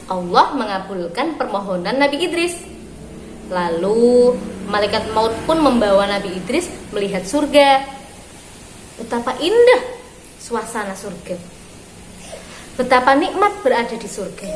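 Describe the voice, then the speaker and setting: A woman reading a story aloud for young children, phrasing expressively and deliberately with pauses. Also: fairly high pitch, expressive. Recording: roomy echo with clear background noise.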